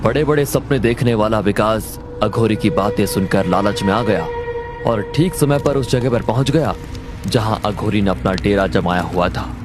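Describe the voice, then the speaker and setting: Male horror story narrator over loud music. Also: fairly low pitch, normal speed. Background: loud background music.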